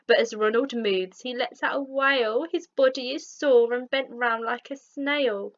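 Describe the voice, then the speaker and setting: A woman with a fairly high voice reads a rhyming picture book aloud like a teacher. Her delivery is slow and expressive, and the recording sounds a little dull. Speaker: teacher reading a story. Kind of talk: children's rhyming storybook narration.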